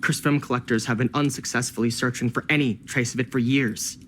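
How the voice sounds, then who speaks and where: An actor playing a slick, pushy film collector in a video game, glib and teasing. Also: male voice, medium pitch, steady tone, fairly quick, fairly bright tone, a little hiss or hum, natural voice. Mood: knowing, dramatic.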